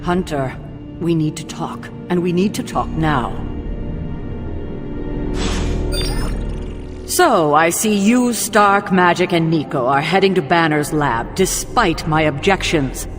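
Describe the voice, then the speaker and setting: A woman's voice: fairly low pitch, lively intonation, unhurried. Stern worried lady team leader, game cutscene, firm n disapproving, big reverb, music under it. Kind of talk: dramatic video game cutscene acting. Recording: long reverb, music behind.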